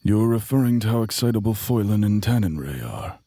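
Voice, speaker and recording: A man playing a worldly, genial traveling companion in a fantasy audio drama, speaking in formal, measured phrases. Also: on the low side, sing-song, warm tone, no echo. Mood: composed.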